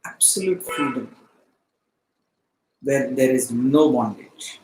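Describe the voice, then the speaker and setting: Slow, deliberate man teaching in echoey room. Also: mid-range voice, darkish tone, a little hiss or hum. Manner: deliberate, emphatic short phrases with pauses. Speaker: spiritual study teacher. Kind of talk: explanatory teaching of Vedanta philosophy. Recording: echoey, roomy sound.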